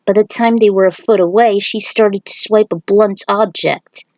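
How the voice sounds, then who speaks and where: A woman's voice: mid-range voice, fairly even intonation, moderate pace, no echo, muffled recording. A woman narrating a fan fiction story.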